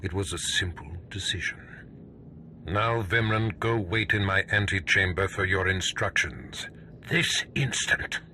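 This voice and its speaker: An actor playing a stern, scheming Sith lord in a video game, speaking in a measured, imperious, menacing manner. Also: male voice, low-pitched, sharp tone, a little hiss or hum, exaggerated voice. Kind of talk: scripted video game drama.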